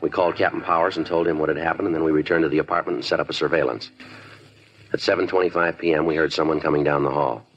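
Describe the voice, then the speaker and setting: An actor playing a terse, deadpan police detective who narrates and questions suspects in a 1950s radio crime drama. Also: male voice, a bass voice, fast. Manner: clipped, deadpan, flat narration. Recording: lo-fi 1950s radio recording with music.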